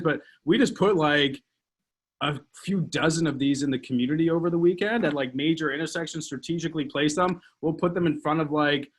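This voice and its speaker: A man hosting a call, rambling casually with many fillers. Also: mid-range voice.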